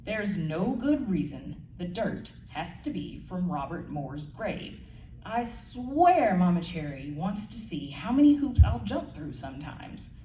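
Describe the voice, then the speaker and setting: A woman's voice: on the low side, very animated, takes it slowly, dark tone. A woman voicing an irritable woman in her twenties, grumbling with exasperation, recorded in a roomy space. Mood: exasperated. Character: irritable, impatient young Southern-town woman in her twenties. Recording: roomy, distant mic, faint noise.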